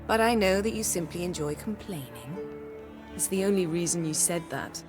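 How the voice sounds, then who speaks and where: Woman playing an imperious low-voiced sorceress. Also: moderate pace, over music, close to an everyday voice. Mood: cool, sardonic. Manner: formal, crisp commands, sarcastic. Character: imperious, sardonic sorceress, coolly commanding. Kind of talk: video game character acting.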